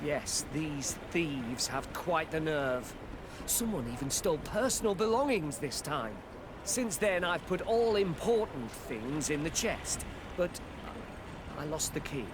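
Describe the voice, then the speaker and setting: Game role: evasive, anxious customs officer, very animated pitch, hesitant and servile, clear ambient noise behind. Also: male voice, takes it slowly. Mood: flustered, defensive. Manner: hesitant, stammering, deferential. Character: evasive, nervous, beleaguered male customs officer. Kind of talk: video game cutscene acting. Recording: noisy outdoor ambience behind the voice.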